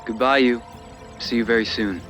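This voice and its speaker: A man's voice: medium pitch, fairly even intonation, fairly bright tone, faint music behind, close to an everyday voice. A man playing an entitled, powerful suitor in a video game cutscene, speaking formally and condescendingly with menacing politeness. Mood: veiled threat. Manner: formal, measured, condescending, self-assured.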